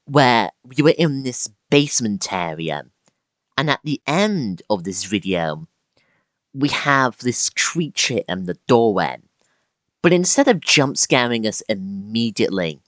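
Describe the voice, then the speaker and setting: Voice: medium pitch, sing-song, slow, no room sound. A YouTuber narrating a scripted video essay with casual asides and dramatic emphasis on key words.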